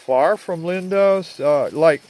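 An older man narrating a rambling video outdoors among trees, talking in a halting way with many fillers, recorded on a handheld phone. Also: a little high, a little mellow. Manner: slow, halting, full of uh fillers. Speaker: vlogger. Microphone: phone at arm's length.

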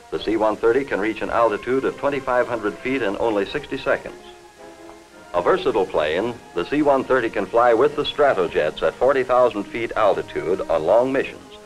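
Low-voiced American 1950s newsreel narrator, man. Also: poor audio. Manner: formal, measured, factual. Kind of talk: 1950s scripted industrial film narration. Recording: vintage 1950s film soundtrack.

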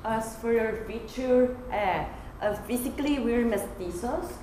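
A woman's voice: on the high side, measured pace, dark tone. A young woman from Mexico giving an earnest class presentation at a lectern, telling anecdotes with light humor. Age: young adult. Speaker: student presenter. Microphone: far camera. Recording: echoey classroom with hiss.

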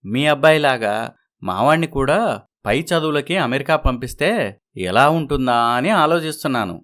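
A man playing a hopeful, pondering middle-aged villager in an animated moral story. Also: medium pitch, normal speed, natural voice. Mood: musing. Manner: musing.

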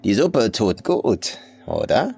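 A man's voice: lowish voice, wildly swooping pitch, slow, vocal fry, darkish tone, husky, soft background music, exaggerated voice. A man voicing a sinister old farm woman in a horror audiobook, folksy and coolly menacing in clipped sentences. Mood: sly, needling. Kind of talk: audiobook horror story character.

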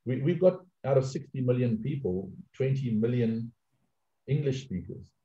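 A man's voice: mid-range voice, expressive, normal speed, dark tone. A middle-aged man with a South African accent, speaking emphatically on a webinar panel with frequent restarts, over a video call. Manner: emphatic, repeats words while restarting. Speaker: outsourcing panelist. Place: home office, video call. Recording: echoey room, dull lo-fi call audio.

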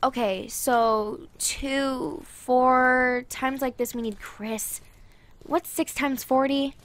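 Woman acting high-voiced strained mother. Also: fairly even intonation, drawn-out words, no room sound. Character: strained, scolding mother with teenage children. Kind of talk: audio drama acting.

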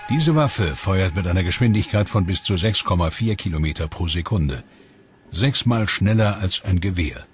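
A male narrator delivers scripted science documentary narration. His voice sits on the low side. Music plays clearly underneath him as he reads. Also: lively intonation, normal speed. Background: background music.

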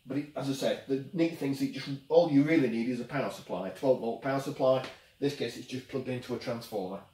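A man's voice: mid-range voice, quick pace, warm tone. Middle-aged man, casual demo presenter, plain-spoken explaining, roomy echo, slightly distant microphone. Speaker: presenter demonstrating a product. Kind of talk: casual unscripted product demo. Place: small office workshop, indoors. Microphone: camera a few metres away.

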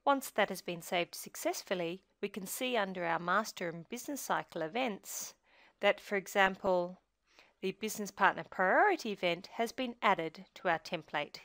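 A woman presenting a software tutorial in scripted screencast narration, giving measured step-by-step instruction. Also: medium pitch, no room sound.

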